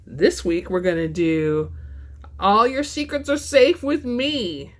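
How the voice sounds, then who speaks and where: A woman's voice: medium pitch, expressive, slow pace, heavy background noise. A woman hosting a book channel, moving between casual chat to viewers and dramatic reading aloud.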